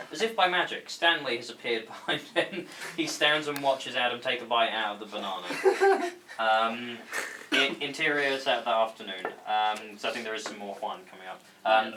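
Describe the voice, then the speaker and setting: A man's voice: mid-range voice, lively intonation, fairly quick. A man reading stage directions at a table read, informal and stumbling a little over the script. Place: indoor room. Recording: echoey room with faint noise.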